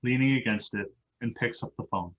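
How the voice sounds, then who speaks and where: A man's voice: medium pitch, fairly quick, a bit bright, low-quality recording. A man reading out stage directions as scripted narration at a play table read.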